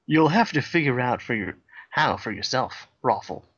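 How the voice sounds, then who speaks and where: A man reads a story aloud in a deadpan, matter-of-fact narration, tossing in dry asides. His voice sits at an ordinary middle pitch. The sound is a bit dull and lo-fi, like a remote recording. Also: moderate pace, breathy edge. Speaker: co-host reading a fan fiction aloud. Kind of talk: comedic dramatic reading.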